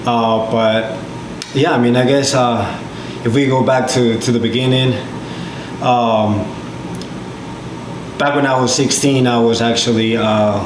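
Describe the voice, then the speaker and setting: A man's voice: mid-range voice, steady tone, unhurried, pauses often. A man in his twenties from Peru, a podcast guest telling his story casually with many fillers and restarts. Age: 20s. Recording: echoey room with loud background noise.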